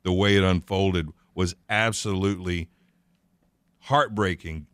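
Low smooth-voiced male radio host. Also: steady tone, a little slow, fairly bright tone, no echo. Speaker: radio morning show host. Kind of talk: casual radio chat.

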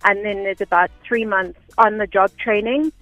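A woman's voice: medium pitch, steady tone, normal speed, fairly bright tone, no echo, low-quality recording. A South African woman explaining things step by step in a conversational, unpretentious way as an interview guest. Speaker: radio interview guest, air traffic information officer. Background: faint background music.

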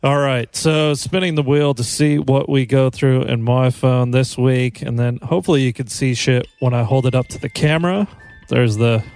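A man trading jokes over a video call, laughing often and poking fun at himself. His voice sits at an everyday middle pitch and sounds close and dry, with no room around it. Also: fairly even intonation, normal speed, gravelly, darkish tone, dry recording. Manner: joking, laughs often, self-mocking. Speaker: podcast co-host.

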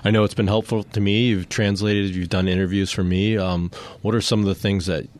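Male radio interviewer, American, police sergeant type, lowish pitch, informal delivery. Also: level tone, brisk.

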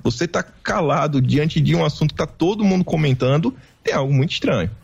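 A man from Bahia with a Bahian Brazilian accent, a remote guest giving animated, casual political commentary. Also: fairly high pitch, fairly quick, gravelly, husky, highs cut off. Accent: Bahian Brazilian Portuguese. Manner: animated, mimics others' voices in quotes.

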